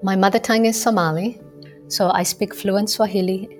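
A woman in her thirties from Kenya, a medical student answering interview questions in a measured, explanatory way. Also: mid-range voice, steady tone, faint music behind. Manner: measured, explanatory, with occasional fillers. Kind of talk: radio interview conversation.

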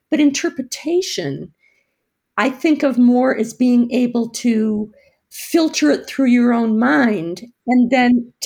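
Husky, gravelly-voiced woman host. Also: a little high, darkish tone. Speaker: radio host and interviewer. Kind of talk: scripted narration and interview questions.

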